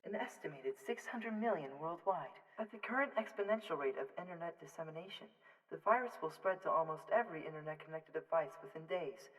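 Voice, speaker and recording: A woman voicing a calm, clinical computer system giving status reports, with long reverb. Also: sits low, fairly quick, lightly acted. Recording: long reverb, distant from mic.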